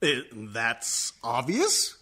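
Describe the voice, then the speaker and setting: An actor playing a polite, hesitant arts show host interviewing a guest in a comic radio play. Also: male voice, mid-range voice, wildly swooping pitch, drawn-out words, bright, forceful tone, heavily acted. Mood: polite, baffled.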